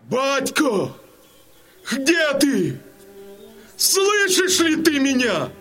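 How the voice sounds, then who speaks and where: An actor playing a condemned young Cossack in a radio play, dying and longing for his father, with halting, anguished declamation. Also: extremely high pitch, wildly swooping pitch, a bit bright, slight background noise, exaggerated voice. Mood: anguished cry. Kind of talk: Russian audio drama acting.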